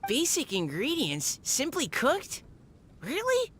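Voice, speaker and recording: A woman voicing an appreciative, curious diner in a video game, with a slightly husky voice. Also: sits high, wildly swooping pitch, slow, fairly bright tone, full character voice. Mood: surprised. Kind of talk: scripted video game voice acting.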